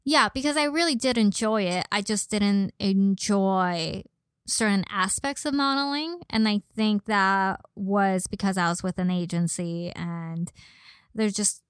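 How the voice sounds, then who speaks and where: Young female podcast guest, slight Californian Valley girl accent, mid-pitched smooth voice, conversational. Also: measured pace, dry recording, studio quality. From Los Angeles, born in Mexico. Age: young adult. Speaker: podcast guest, model and personal trainer. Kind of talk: casual conversational interview.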